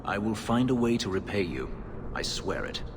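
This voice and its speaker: A man's voice: on the low side, very animated, moderate pace, noisy background. An actor playing a brooding male elf warrior and escaped slave, speaking in a grave, formal, terse way. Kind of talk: video game dialogue. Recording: game music underneath. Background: game score.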